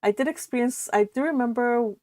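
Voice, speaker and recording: A Californian woman hosting a read-along, reading a novel aloud and chatting about it in an informal, animated manner. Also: on the high side, a little fast, dry recording. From California, United States. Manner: animated, informal, exclaiming asides. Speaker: host of a live read-along.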